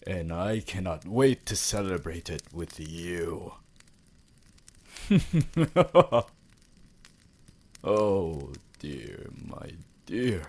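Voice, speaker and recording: A man's voice: deep voice, darkish tone, exaggerated voice. A man voicing an ancient, courtly vampire lord in an ASMR roleplay, formal, theatrical and romantically devoted. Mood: joyful, devoted.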